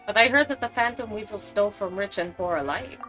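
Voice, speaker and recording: A woman's voice: medium pitch, a little fast, over loud music, low-quality recording. A woman giving casual, blunt and irreverent reactions while playing a video game. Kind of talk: casual gameplay commentary.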